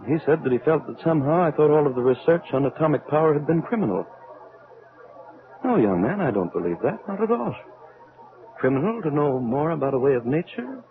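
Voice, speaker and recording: An actor in an old-time radio drama playing a troubled elderly scientist, speaking in a halting, brooding, theatrical way. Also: male voice, on the high side, sing-song, phone-quality sound. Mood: earnest. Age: older. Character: grave, troubled elderly scientist aboard a spaceship. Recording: band-limited vintage radio recording.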